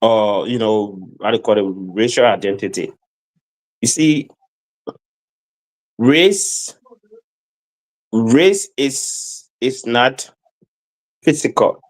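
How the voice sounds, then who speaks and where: A man's voice: medium pitch, takes it slowly, long pauses, poor audio. A man speaking as a guest in a discussion, emphatic and lecturing, who repeats phrases and stammers as he builds his point. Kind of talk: lecturing opinion.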